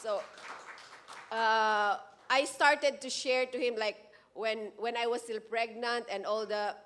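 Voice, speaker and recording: A woman in her forties sharing a personal testimony at a church service into a handheld mic, earnest and halting, often restarting her words. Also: a little high, fairly even intonation, fairly bright tone. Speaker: church member giving testimony.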